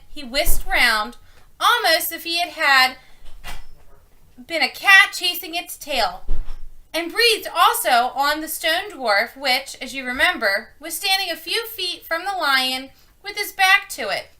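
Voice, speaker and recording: A woman with a high, bright voice reads a children's book aloud. She tells it expressively and stumbles slightly now and then. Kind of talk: read-aloud storytelling.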